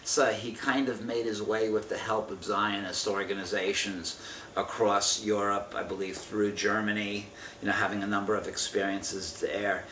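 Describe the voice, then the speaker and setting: A man in his fifties answering an oral history interview from memory, speaking in a halting, careful, measured way. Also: fairly low pitch, little pitch movement, bright, forceful tone. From Israel. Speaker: interviewee giving family testimony. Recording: roomy, distant mic with faint noise.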